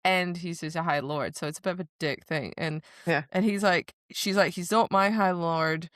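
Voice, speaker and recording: Woman, lower-pitched voice, dry close sound, casual book chat, giggly and sweary podcast co-host. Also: normal speed, no room sound. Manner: giggly, animated retelling with acted-out quotes and swearing. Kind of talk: casual chat recapping a fantasy romance novel.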